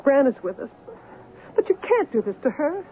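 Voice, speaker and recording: A woman's voice: high voice, sing-song, moderate pace. An actress playing an anguished but firm woman doctor confronting her returned husband, earnest and emotional, in an old-time radio drama. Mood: defiant. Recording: narrow, noisy old radio recording.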